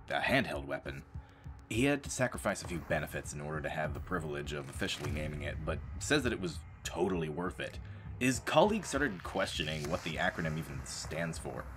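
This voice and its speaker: A man playing a sardonic, very deep-voiced space marine. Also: a little hiss or hum. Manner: sardonic, deadpan, brooding. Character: world-weary sardonic space marine demoted to janitor, man. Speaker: narrator of an audio drama. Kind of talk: dramatic first-person scripted narration.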